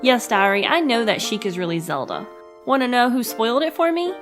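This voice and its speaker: A woman's voice: on the high side, very animated, a little fast, fairly bright tone. Young woman doing snarky scripted comedy narration, crude n emphatic, voice jumps around a lot, some music behind. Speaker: host of a comedic gaming web series.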